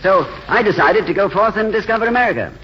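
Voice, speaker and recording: A gentle, weary intellectual who mocks his own failures, in vintage radio drama style. His delivery is courteous and hesitant, swinging widely in pitch. The sound is muffled, with faint noise from the old broadcast. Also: animated, quick pace. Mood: wry, self-mocking. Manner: courteous, hesitant, wryly self-deprecating. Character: gentle, world-weary, self-mocking failed writer and drifter. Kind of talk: 1940s radio drama acting.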